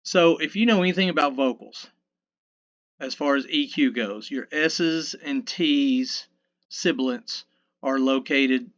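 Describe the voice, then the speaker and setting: A man in his fifties with a low mid voice, casually talking through a mixing tutorial in a rambling, self-correcting, folksy way. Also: unhurried, a bit bright, muffled recording. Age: 50s. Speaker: sound engineer teaching mixing. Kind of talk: casual screen-recorded tutorial. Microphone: dynamic vocal mic.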